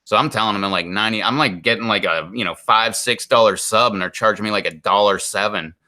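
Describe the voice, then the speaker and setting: A man's voice: on the low side, quick pace, bright, forceful tone. A man, chatting casually to stream viewers in a rambling, exasperated way full of "like". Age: 40s. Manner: rambling and exasperated, heavy use of "like". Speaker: live stream host.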